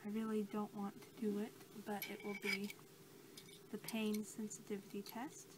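A young woman speaking softly and haltingly in an ASMR role-play as an examiner, her hushed voice often dropping toward a whisper. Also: mid-range voice, completely flat delivery. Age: young adult. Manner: gentle, halting delivery that drops into whispers. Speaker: ASMR creator role-playing a creature examiner. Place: small cluttered room indoors. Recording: noisy amateur home recording.

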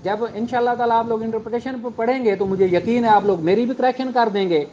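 High-pitched Pakistani man lecturing. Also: fairly even intonation, fast, dark tone, highs cut off, a little hiss or hum. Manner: explanatory, mixing in English legal terms. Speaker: law teacher. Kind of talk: informal lecture explaining statute.

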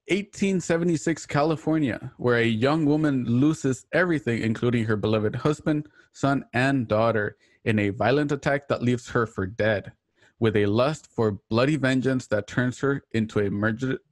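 Male show host for a livestreamed drama reading. Mid-range pitch, dry home-studio sound. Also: normal speed, no room sound. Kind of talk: hosting a livestreamed radio play reading. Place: home, on a group video call.

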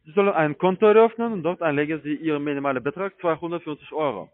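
A man with a foreign accent, a non-native speaker, pushing an insistent, questioning sales pitch. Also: fast. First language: not German. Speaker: telesales investment agent. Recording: narrow, dry phone line.

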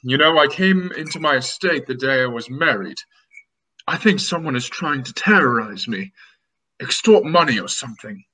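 A young man playing an anxious, self-important groom in melodramatic, drawn-out old-time radio mystery style. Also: medium pitch, expressive, bright, forceful tone, husky, poor audio. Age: young adult. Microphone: video call.

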